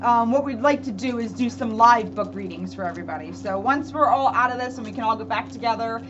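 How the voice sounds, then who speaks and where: A woman police officer speaking to children in an expressive, storybook read-aloud manner, with emphatic pauses. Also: a little high. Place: indoors at a public library. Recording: dull lo-fi audio with clear background noise.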